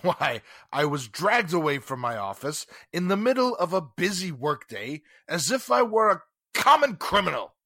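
A man's voice: a little high, very animated, normal speed, few pauses, sharp tone, no echo, clean studio sound, full character voice. An actor playing a haughty, sarcastic, indignant businessman in 1940s radio drama style. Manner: pompous, formal, sarcastic. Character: haughty, indignant wealthy businessman under police questioning.

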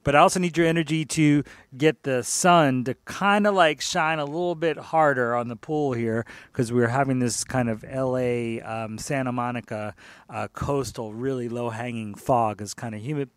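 A man's voice: mid-range voice, normal speed, dry recording. A man hosting a music radio show, chatting in a loose, playful, rambling way and repeating himself. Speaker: radio DJ host.

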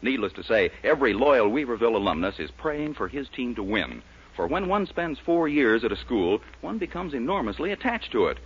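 A man's voice: mid-range voice, sing-song, a little fast, breathy edge. A man announcing and reading ads in a punchy 1940s radio showman style. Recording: dull, lo-fi 1940s radio recording.

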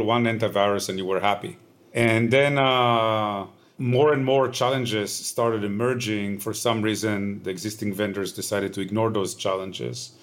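A man in his fifties with an Israeli accent, answering interview questions in a measured, explanatory way with frequent "uh" pauses. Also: lowish voice. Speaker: tech company founder, guest.

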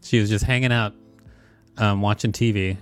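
A man's voice: lowish voice, steady tone, normal speed, smooth, soft background music. A man co-hosting a show, reading an encyclopedia article aloud and dropping in casual, playful asides.

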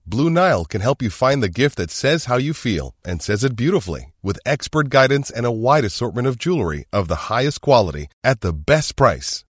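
A man delivers scripted advertising narration with a lively, varied pitch. His voice sits in a middle register and is recorded very dry. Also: no echo. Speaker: advert voice-over.

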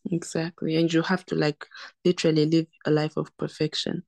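Young woman, Southern African accent, gravelly fry, dry recording, conversational, explanatory, interview guest. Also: deep voice, level tone, brisk, darkish tone, dry recording. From Zambia and South Africa. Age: young adult. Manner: explanatory, repeats words for emphasis. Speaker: podcast guest, medical doctor. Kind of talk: conversational interview, recounting personal experience.

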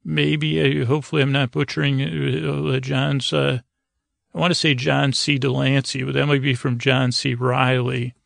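A man with a creaky, gravelly voice hosting a sleep podcast, delivering a hesitant, meandering bedtime monologue that trails off and circles back. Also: medium pitch, level tone, normal speed, fairly bright tone, no room sound, clean studio sound. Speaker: podcast host.

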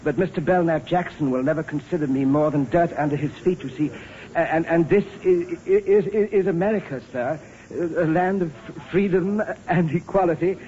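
An actor playing a humble, earnest, deferential manservant with courteous, formal diction in 1930s Hollywood film style. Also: male voice, on the high side, moderate pace, warm tone, lightly acted. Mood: earnest, nervous. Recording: muffled noisy old film soundtrack.

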